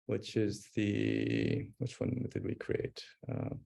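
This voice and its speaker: A man's voice: lowish voice, sing-song, slow, creaky, dark tone. A man presenting a live webinar demo, thinking aloud as he types, with frequent fillers and restarts. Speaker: presenter demonstrating software.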